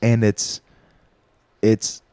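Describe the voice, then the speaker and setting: A man from Iowa co-hosting a podcast, chatting casually and riffing with quick, profane jokes and put-on voices. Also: dark tone, no echo. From Des Moines, Iowa. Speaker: podcast co-host. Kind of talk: casual comedic chat.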